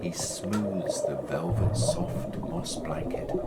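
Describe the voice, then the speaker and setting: A storyteller narrating a children's tale in an expressive, drawn-out way, with music behind. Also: low voice, heavy background noise. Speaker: storyteller, puppet show presenter. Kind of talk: slow children's story narration with character lines. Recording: loud music under the voice.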